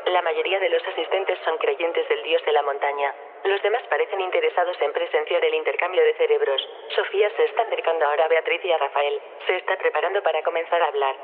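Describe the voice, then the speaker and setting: A performer with a male-sounding voice playing a polite artificial-intelligence reporter in an audio drama, measured and matter-of-fact. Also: a little high, lightly acted. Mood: polite, factual. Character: polite AI reporter, factual and unruffled. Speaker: field reporter. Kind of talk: live report in audio fiction. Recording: thin phone-like link with long reverb.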